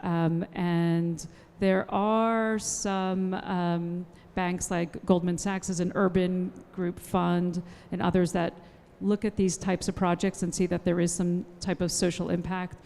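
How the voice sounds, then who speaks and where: A woman's voice: lowish voice, level tone, slow, vocal fry, a little mellow. A woman on a conference panel, answering an audience question and enthusiastically promoting her project. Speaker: panelist, developer. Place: conference hall. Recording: long hall reverb.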